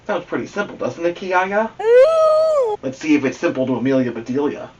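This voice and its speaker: A man hosting a children's story podcast, reading a picture book aloud in an expressive, animated way. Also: medium pitch, brisk, dull audio. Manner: expressive, addresses a young co-host with questions. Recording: slightly distant from the microphone.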